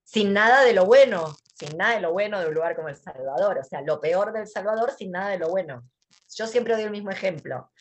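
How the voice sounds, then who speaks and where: A woman's voice: mid-range voice, expressive, a little fast. An Argentine woman speaking casually as a guest, blunt, sarcastic and emphatic, over a headset on a video call from a dim room. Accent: Argentine Spanish. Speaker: guest, writer and thinker.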